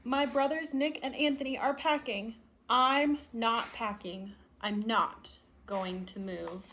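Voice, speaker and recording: A woman's voice: a little high, takes it slowly, a bit bright, lo-fi sound, slight background noise. A woman reading the part of a stubborn, indignant young boy from a picture book, with emphatic delivery. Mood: defiant. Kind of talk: picture-book read-aloud.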